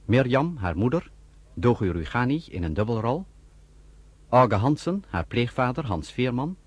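A man's voice: medium pitch, normal speed, breathy edge, no echo. A man announcing the end of a radio drama and reading out the cast list in a formal manner. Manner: formal and even. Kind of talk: reading credits.

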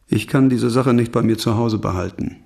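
An actor playing a dogged, laconic investigator in a German radio crime drama, speaking in a terse, understated way. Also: male voice, medium pitch, moderate pace, creaky, dark tone. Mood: terse, pressing.

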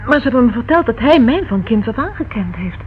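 Anxious, earnest young woman for radio drama: pleading, very animated intonation, dull old recording. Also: a little high, fast, a little hiss or hum. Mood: uneasy, earnest. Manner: pleading, hesitant, emotional. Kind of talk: Dutch radio play acting.

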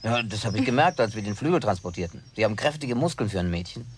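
An actor playing a brash, touchy young country-bred working man in a German radio play, talking in a colloquial, blunt, excitable way. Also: on the low side, fast, a little hiss or hum, close to an everyday voice. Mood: chatty.

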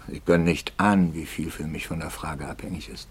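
An actor playing a troubled, doubting priest in a radio drama, intense and halting, sometimes dropping towards a whisper. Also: male voice, a bass voice, steady tone. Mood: brooding, weary. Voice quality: husky, gravelly, often breaking into whisper. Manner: slow, halting, intense, rhetorical questioning. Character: troubled male priest, brooding, doubting, tormented by hope. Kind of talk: serious German radio drama acting.

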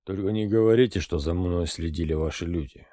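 Man voicing a middle-aged intelligence colonel, low dark voice, measured, matter-of-fact, audiobook. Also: no room sound, studio quality, natural voice. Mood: even. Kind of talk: audiobook character reading.

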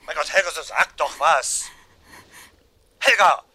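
An actor playing an agitated, commanding police detective, urgent and insistent, in a German radio crime drama. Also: male voice, fairly high pitch, unhurried, pushed and bright, husky, exaggerated voice. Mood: desperate, urgent.

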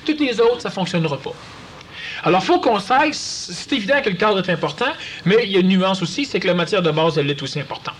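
Québécois male speaker, high and highly expressive, conversational lecture with faint room noise. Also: a little fast. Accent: Quebec French. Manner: animated, acts out quoted voices. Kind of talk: conversational talk to an audience.

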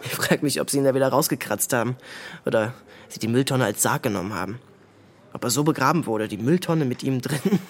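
Male actor as sullen teenage boy, medium pitch, curt, dismissive, provocative, naturalistic radio drama. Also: fairly quick, lightly acted. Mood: provocative, flippant.

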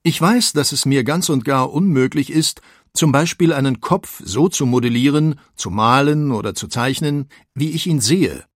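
An actor playing an earnest, self-doubting artist who reflects in a measured way, in an audio biography. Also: male voice, medium pitch, no room sound, studio quality, lightly acted. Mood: reflective, resigned. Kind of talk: dramatised first-person quotation in an audio biography.

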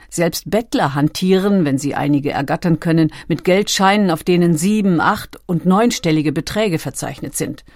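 Low-voiced woman narrating a documentary. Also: darkish tone, no room sound, clean studio sound.

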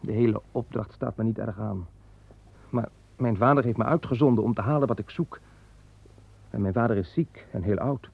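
An actor playing Seth, a young farmer and son of Adam, speaking earnestly and frankly in a radio drama. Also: male voice, lowish voice, moderate pace, gravelly, long pauses, husky. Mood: wry. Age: young adult. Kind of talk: 1960s Dutch radio drama. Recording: tinny narrow-band old radio recording.

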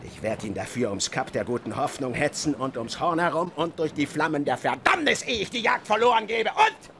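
Fanatical sea captain, wildly swooping voice. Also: male voice, fairly high pitch, sing-song, sharp tone, slight background noise, heavily acted. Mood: brooding, vengeful. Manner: commanding, theatrical, drawn-out oratory. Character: obsessed, tyrannical old whaling captain with peg leg. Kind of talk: radio drama acting.

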